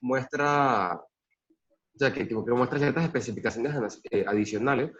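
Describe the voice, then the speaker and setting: A man's voice: medium pitch, a bit bright, breathy edge. Hesitant young man, muffled call. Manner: hesitant, halting. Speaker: law student. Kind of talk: class discussion. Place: video call. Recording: dull lo-fi video call audio.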